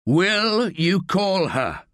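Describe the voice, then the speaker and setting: A man reading an audiobook, voicing a stern detective who speaks in a firm, measured way. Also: high-pitched, very animated, fairly bright tone, dry recording, clean studio sound, voice pushed up, heavily acted.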